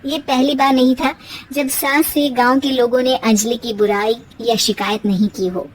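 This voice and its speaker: High-voiced woman narrating a moral story. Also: moderate pace, far from the mic, rough recording. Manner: even, storybook telling.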